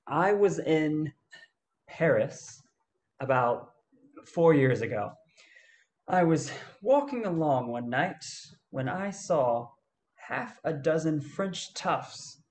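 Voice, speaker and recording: A man acts out an easygoing fortune hunter in a radio play. His voice sits a little high for a man, and he spins his tale very slowly, with halting pauses. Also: lively intonation, darkish tone. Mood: amused storytelling. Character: easygoing male gold-hunting adventurer telling a tale.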